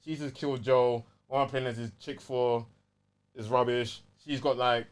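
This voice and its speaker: A London man talking casually as a gaming podcast co-host. His voice sits at a middle pitch. He speaks in slang and tends to act out his reactions as he explains. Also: steady tone, normal speed, smooth. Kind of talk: casual game review chat.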